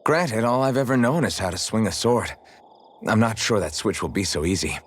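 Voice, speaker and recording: An actor playing an easygoing, modest young swordsman with a gently teasing, casual way of talking in a video game cutscene. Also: fairly low pitch, lively intonation, moderate pace, slightly rough. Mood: calm, reflective.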